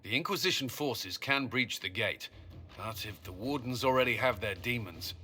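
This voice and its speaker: A man's voice: medium pitch, expressive, normal speed, bright, forceful tone, slight background noise. A man playing a resolute, practical army commander in a video game, speaking in a firm military manner. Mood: confident. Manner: firm, military, matter-of-fact. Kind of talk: video game cutscene acting.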